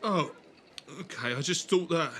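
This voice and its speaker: A man's voice: a little high, measured pace, sharp tone, some chatter behind, a little hiss or hum. A man playing an eager, kindly young companion, chatty and a little awkward. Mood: awkward, apologetic. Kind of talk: fan audio drama acting.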